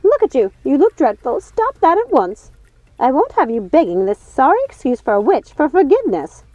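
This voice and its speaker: Woman acting an imperious, high-voiced scolding witch. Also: sing-song, normal speed, no echo. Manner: sharp, commanding, blaming. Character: imperious, scolding wicked witch, eldest-sister bossiness. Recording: dull lo-fi sound, music underneath.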